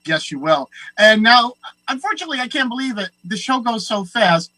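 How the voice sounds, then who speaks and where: A host with a Long Island accent, chatting casually and affirming the guest often. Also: male voice, very high-pitched, very animated, fairly quick, crowd noise, low-quality recording. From United States. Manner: warm affirming, frequent mm-hmms. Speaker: podcast host. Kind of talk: casual chat.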